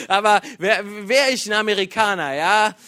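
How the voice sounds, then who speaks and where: A man from Iran preaching a sermon in a lively, joking way, with many fillers and restarts. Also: high voice, measured pace, no echo, clean studio sound. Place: church.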